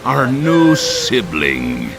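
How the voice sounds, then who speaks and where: A man voicing an eager, oily servant of a dark cult in a fantasy game. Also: medium pitch, huge pitch swings, drawn-out words, gravelly, over music, heavily acted. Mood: welcoming, sinister.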